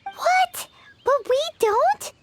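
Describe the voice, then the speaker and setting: A woman voicing a small, curious, chirpy childlike fairy companion in a video game, with expressive delivery. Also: extremely slow, heavy background noise, exaggerated voice. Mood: surprised, let down. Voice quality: squeaky, childlike. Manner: expressive, exclamatory. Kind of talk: video game character acting. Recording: music behind the voice.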